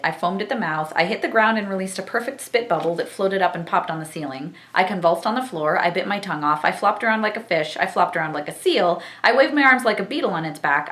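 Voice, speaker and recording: A woman presents book reviews to her vlog viewers with a bright, forward voice. Her delivery is theatrical and exuberant, swinging widely in pitch. The room adds an audible echo around her words. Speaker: book-review vlogger and host. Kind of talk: vlog book review with passages read aloud.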